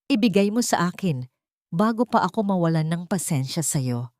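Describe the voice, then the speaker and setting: A woman's voice: lowish voice, animated, moderate pace, darkish tone, dry recording, studio quality, natural voice. A woman voicing a greedy, menacing older mother-in-law in an audio story, with a Filipino accent. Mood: hostile, demanding. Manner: menacing, demanding.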